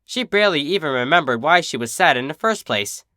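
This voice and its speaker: A man reading fan fiction aloud in an expressive storybook narration style. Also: on the high side, fairly quick, no room sound, clean studio sound. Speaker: fan fiction reader, channel host.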